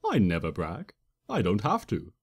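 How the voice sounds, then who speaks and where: A man's voice: on the low side, huge pitch swings, normal speed. A man reading a story dramatically in the first person, in a playful, theatrical trickster manner. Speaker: fan fiction reader and narrator. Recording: reverberant room with long echo.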